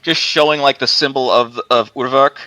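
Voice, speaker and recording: A man's voice: medium pitch, fairly even intonation, normal speed, sharp tone, no echo. A man playing a tabletop game over a voice call, talking casually with many fillers and restarts. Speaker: player. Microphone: headset over a voice call.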